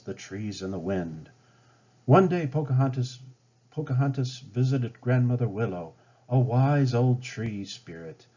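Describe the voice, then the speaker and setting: A man's voice: mid-range voice, warm tone. A man reading a children's story aloud as a storybook narrator. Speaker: storybook reader. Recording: dull lo-fi home recording.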